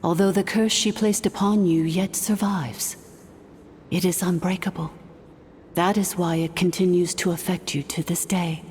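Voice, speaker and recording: An actress playing a solemn ancient dragon sovereign, an artificial intelligence in a video game, speaking formally, gravely and with measured delivery. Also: female voice, lowish voice, steady tone, a little mellow, a little hiss or hum, natural voice. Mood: grave, narrating. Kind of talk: scripted video game voice acting. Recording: long hall reverb with music.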